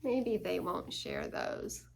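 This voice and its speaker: A woman's voice: fairly low pitch, huge pitch swings, slow pace, creaky, slightly rough. A woman reading a children's picture book aloud, expressively, with chatty asides and questions to her listeners. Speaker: storytime reader at a church.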